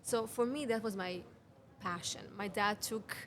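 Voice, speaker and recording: A woman in her thirties speaks English with a Serbian accent, her second language after Serbian. She talks at a middle pitch in an earnest, explaining way, stacking up rhetorical questions and making small grammar slips. Faint background noise sits under her voice in a cafe. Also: a little slow. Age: 30s. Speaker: guest, women's health mentor. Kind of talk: conversational podcast interview.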